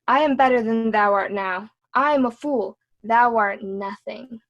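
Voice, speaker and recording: A woman's voice: fairly high pitch, a little slow, fairly bright tone, lo-fi sound. A woman playing a witty, cheeky court jester in a Shakespearean reading, with a mocking, sing-song delivery.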